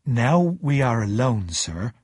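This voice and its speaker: A man voicing a humble, awkward, kindly working-class blacksmith in a storybook, speaking slowly and deferentially. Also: medium pitch, sing-song, a little mellow, slightly rough, no room sound, studio quality, full character voice. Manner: slow, deferential, halting.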